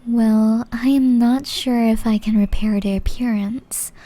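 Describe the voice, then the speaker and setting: A woman playing a gentle, caring, centuries-old witch in an ASMR roleplay, speaking softly and breathily, often in a whisper, with tender teasing. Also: on the high side, fairly even intonation, unhurried, lightly acted, poor audio. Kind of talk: ASMR roleplay, intimate scripted acting.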